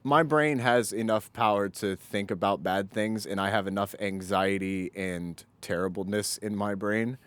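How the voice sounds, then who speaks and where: A young adult man co-hosting a podcast, telling rambling, self-deprecating stories with casual swearing in an animated way. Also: fairly low pitch, moderate pace, hardly any pauses, clear, resonant tone. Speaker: podcast co-host. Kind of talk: casual banter and storytelling.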